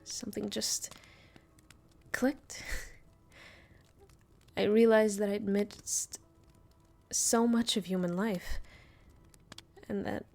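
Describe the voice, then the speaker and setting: Slow, soft, ancient vampire mistress played by a woman. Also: mid-range voice, steady tone, vocal fry, faint music behind. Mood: lovesick, confessing. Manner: soft, slow, hesitant, confiding. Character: centuries-old female vampire master, weary, tender, lovesick. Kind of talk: intimate scripted audio roleplay.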